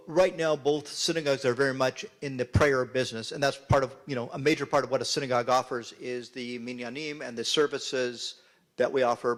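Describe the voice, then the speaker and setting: A man moderating a community panel discussion, offering his own measured view. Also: mid-range voice.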